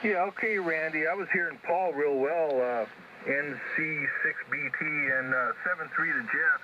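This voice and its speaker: A man's voice: on the high side, moderate pace, highs cut off. Very noisy ham radio signal, man chatting. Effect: shortwave ham radio transmission. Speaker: amateur radio operator checking in. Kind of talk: casual radio chat.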